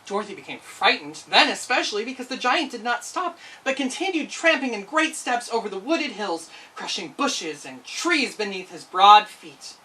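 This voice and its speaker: A woman reading a children's story aloud in an expressive narrating style. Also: mid-range voice, moderate pace, few pauses, far from the mic, poor audio. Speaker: storytime reader.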